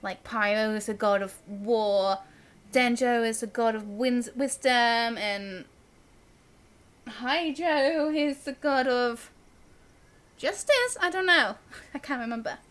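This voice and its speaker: A woman's voice: on the high side, slow, fairly bright tone. A woman giving casual live gameplay commentary as a streamer, musing aloud in a chatty, giggly manner.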